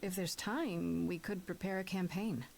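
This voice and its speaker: Frank, wry young muse. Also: female voice, on the low side, steady tone, measured pace, vocal fry, darkish tone, a little hiss or hum. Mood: thoughtful. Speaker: voice actor. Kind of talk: casual, natural game dialogue.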